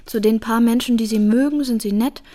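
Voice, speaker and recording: A woman's voice: a little high, a little fast, mellow, lightly acted. A woman playing a tender, principled young working-class mother in a naturalistic radio drama, speaking in an earnest, plain way. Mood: earnest, firm. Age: young adult.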